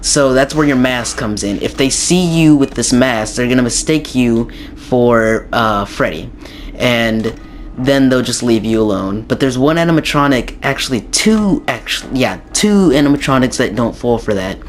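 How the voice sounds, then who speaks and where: A young man with a slightly raspy, hoarse voice from a sore throat, giving a rambling casual game tutorial with many ums and restarts. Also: medium pitch, lively intonation, normal speed, a little hiss or hum. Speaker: gaming YouTuber.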